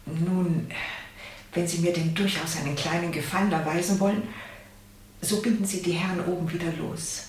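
A woman's voice: fairly low pitch, fairly even intonation, moderate pace, a little mellow, lightly acted. An actress playing a dignified, reserved elderly captive lady in a German radio drama. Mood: composed, firm. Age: older. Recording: echoey room, distant, faint noise.

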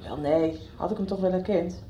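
A woman's voice: lowish voice, moderate pace, warm tone, close to an everyday voice, rough recording. A woman playing an inquisitive, loyal schoolgirl in a Dutch radio soap, asking insistently. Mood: curious. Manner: questioning, insistent. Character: inquisitive, loyal young schoolgirl, somebody's little sister. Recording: 1990s radio soap production.

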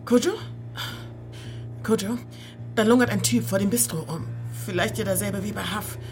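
A woman's voice: mid-range voice, moderate pace, a little mellow, soft background music, close to an everyday voice. A woman playing a practical, worried young waitress in a radio drama, speaking in a natural, direct way. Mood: urgent, worried. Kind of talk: German radio drama acting.

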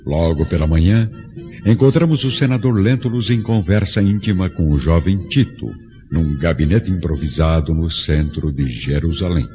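A man's voice: deep voice, normal speed, dark tone. A man reading scripted narration for a radio drama in a solemn, literary manner. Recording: muffled recording with faint music.

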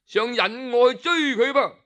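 A man's voice: very high voice, moderate pace, pushed and bright, pitched above the performer's own voice. A man voicing a proud, boastful male general in a traditional storytelling recording, speaking in a blustering, self-assured way. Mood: alarmed.

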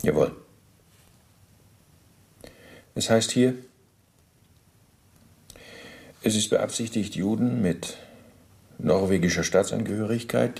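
A man's voice: low-pitched, gravelly, darkish tone. An actor playing an evasive, pedantic former Nazi official who answers his interrogator formally and deferentially in a German radio docudrama. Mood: official, reading.

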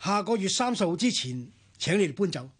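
A man's voice: high voice, big pitch swings, fairly quick, husky, heavily acted. A man playing a formal, officious lawyer in a radio drama, procedural and unbending. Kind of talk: Cantonese radio drama acting.

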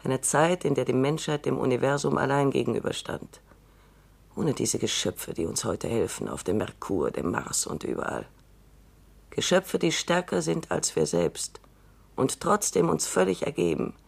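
A woman in her seventies playing a shrewd retiring robot psychologist in a radio drama, measured and reflective, sometimes dropping to near whispers. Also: extremely low pitch, very animated, gravelly, husky, full character voice. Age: 70s. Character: shrewd elderly female robot scientist, dryly wry.

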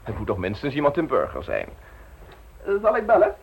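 An actor playing a suave, insolent blackmailer in a radio play, mockingly polite and smoothly domineering. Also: male voice, medium pitch, huge pitch swings, fairly quick, lo-fi sound, exaggerated voice. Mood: mocking, threatening. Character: suave, insolent male blackmailer installing himself as private secretary.

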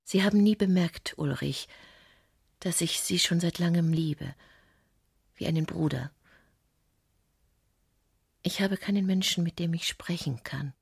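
A refined, melancholy lady in a radio drama. Her low voice is very breathy and hushed, with a creaky edge. She speaks formally and with restraint. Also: a little slow, long pauses, no room sound, clean studio sound, exaggerated voice. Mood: confiding, sorrowful. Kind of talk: literary radio drama acting.

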